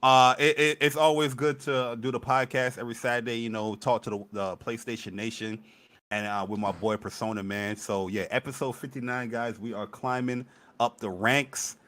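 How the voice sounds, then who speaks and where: A young man co-hosting a casual gaming podcast, energetic and hyped as he talks. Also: medium pitch, moderate pace. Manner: energetic, hype. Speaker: podcast co-host. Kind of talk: casual chat.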